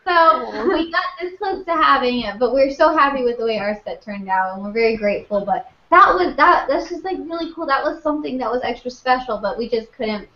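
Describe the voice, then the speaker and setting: A woman's voice: sits high, animated, fairly quick, few pauses, pushed and bright. A woman chatting as a guest, bubbly and laughing, with lots of "like". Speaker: guest youtuber. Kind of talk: casual chat. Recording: dull lo-fi audio in an echoey room.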